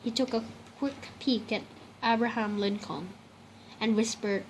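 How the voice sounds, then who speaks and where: A woman's voice: fairly high pitch, unhurried. A woman reading a children's picture book aloud in a storytelling style. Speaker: storybook reader. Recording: clear steady background noise.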